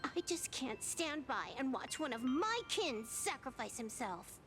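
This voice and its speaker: An actress voicing a sharp-tongued young woman in a video game, proud and wry yet guarded about her soft heart. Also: sits high, big pitch swings, unhurried, over music. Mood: frustrated, anxious. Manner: wry, teasing, emotionally guarded. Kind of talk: scripted video game voice acting.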